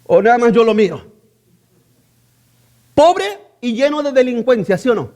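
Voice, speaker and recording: A man's voice: extremely high pitch, big pitch swings, moderate pace, darkish tone. A male pastor preaching a sermon, emphatic, often repeating key phrases and asking the congregation rhetorical questions.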